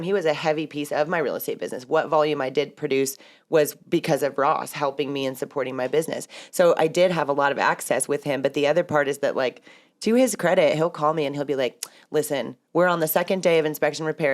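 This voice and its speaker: A woman's voice: sits low, quick pace. A woman who hosts a podcast and works as a real estate broker, talking in a chatty, rambling way and laughing often. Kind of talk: casual podcast conversation.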